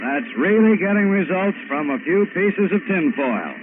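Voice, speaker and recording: A man's voice: high voice, lively intonation, normal speed. An American man reporting in a formal, explanatory, scripted 1940s military radio style. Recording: noisy, tinny 1940s radio broadcast.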